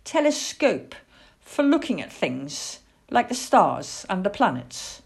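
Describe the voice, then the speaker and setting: A woman's voice: mid-range voice, big pitch swings, takes it slowly, creaky, slightly rough, full character voice. A woman voicing a genial, enthusiastic grown man who stargazes, explaining politely and exclaiming.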